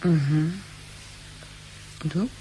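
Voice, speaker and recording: A kindly, wry family confidante in a seventies radio drama. Her voice is lowish and mellow, with slight hiss behind it. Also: very slow, poor audio. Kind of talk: 1970s German science-fiction radio play acting.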